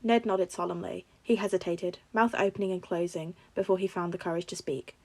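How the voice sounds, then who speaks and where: A woman narrating fan fiction in an expressive storytelling style. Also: fairly low pitch, fairly quick, dry recording. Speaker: podfic reader.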